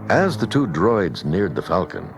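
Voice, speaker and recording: A man's voice: sits low, wildly swooping pitch, measured pace, over loud music. A man narrating a scripted children's read-along story.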